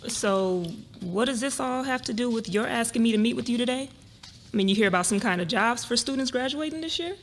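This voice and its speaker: A woman's voice: fairly high pitch, animated, a little fast. A woman playing a wary, curious, outspoken young college student in a dramatic reading of a novel. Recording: noisy live event recording.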